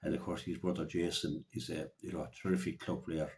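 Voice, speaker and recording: A man with a County Clare Irish accent giving a conversational sports preview as a local radio pundit, halting and often repeating his words. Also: rumbling low, level tone, gravelly, slight background noise.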